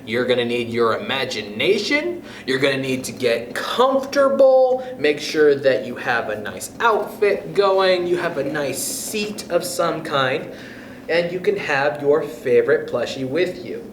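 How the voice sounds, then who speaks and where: A man's voice: fairly high pitch, very animated, unhurried. A man hosting storytime, reading a children's book expressively and speaking directly to young listeners. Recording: echoey room with background noise.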